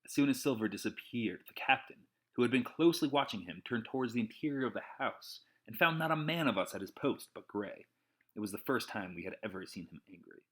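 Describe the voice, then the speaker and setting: A man's voice: mid-range voice, lively intonation, brisk, fairly bright tone. A man reading an adventure novel aloud as dramatic narration, an amateur audiobook reader.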